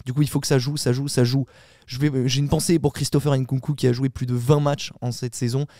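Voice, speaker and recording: A man talks quickly in a dark, mellow voice, recorded close and dry with no room sound. He is a podcast panelist telling a story in an animated way, restarting sentences and filling pauses as he goes. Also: medium pitch, no room sound. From Italian heritage. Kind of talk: casual podcast chat.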